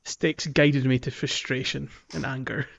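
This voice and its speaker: A man's voice: medium pitch, level tone, measured pace, vocal fry, slight background noise. A man co-hosting a casual gaming podcast, chatting with wry, grumbling understatement. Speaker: podcast co-host. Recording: dull lo-fi mic, faint music. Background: faint background music.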